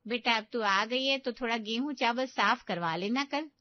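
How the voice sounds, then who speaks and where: A woman's voice: fairly high pitch, moderate pace, dry recording, natural voice. Lady doing a tired middle aged mom/granny in a story, grumbles but gives in, bit muffled. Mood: resigned. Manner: protesting yet giving in. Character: indulgent but worn-out middle-aged mother and grandmother.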